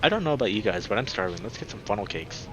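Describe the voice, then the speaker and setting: A man's voice: on the low side, big pitch swings, fast talker. A man voicing an easygoing, hungry young male animal friend in a visual novel, with a laid-back manner. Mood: eager, casual. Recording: noisy background behind the voice.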